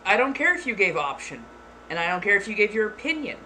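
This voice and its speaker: A woman streamer chatting casually with her viewers, blunt and frank, keeping her voice deliberately flat and dropping the odd swear. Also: mid-range voice, low-quality recording. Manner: blunt, deliberately monotone delivery. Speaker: streamer answering chat. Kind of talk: casual live-stream chat. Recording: clear background noise.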